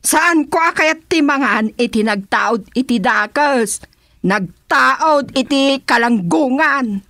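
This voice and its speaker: A woman with an Ilocano accent, acting in a comedy radio drama, shrill and confrontational. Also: lively intonation, slow pace, a bit bright, no echo, studio quality.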